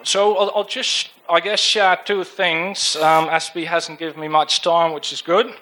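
A man's voice: a little high, flat delivery, a little slow, sharp tone, rough recording. A young man with a Dutch accent giving an informal church talk about a mission trip, hesitant with many fillers and restarts. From Netherlands. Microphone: handheld microphone.

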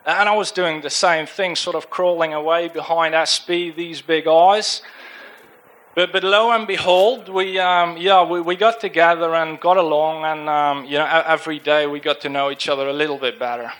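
A man's voice: a little high, steady tone, moderate pace, a bit bright. A young man with a Dutch accent giving an informal church talk about a mission trip, hesitant with many fillers and restarts. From Netherlands. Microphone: handheld microphone.

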